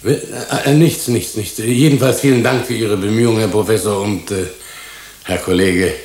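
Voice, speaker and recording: A male actor plays a weary doctor in a radio play. He speaks with wry unease and some hesitancy. His voice is a little low, with a roomy echo around it. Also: fairly even intonation, normal speed, darkish tone, close to an everyday voice. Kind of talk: radio drama dialogue.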